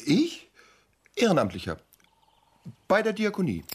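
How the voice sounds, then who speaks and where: An actor playing a scheming police detective who talks casually and cajolingly in a radio play. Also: male voice, high-pitched, wildly swooping pitch, measured pace, no echo, full character voice. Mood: feigned innocence. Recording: clear background noise and ambience.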